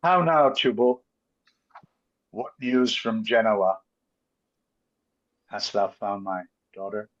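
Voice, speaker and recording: A man playing a bitter, vengeful merchant and wronged father in a theatrical Shakespeare reading, declaimed deliberately with emphatic pauses. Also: fairly low pitch, animated, fairly bright tone. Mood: anguished lament. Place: online video call reading. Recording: muffled video call audio.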